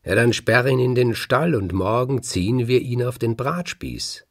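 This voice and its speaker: Man telling it as a ruthless, husky farmwife. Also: mid-range voice, animated, measured pace, darkish tone, no room sound, clean studio sound, pitched above the performer's own voice. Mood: brisk, annoyed. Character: angry, ruthless farmer's wife in a folk tale.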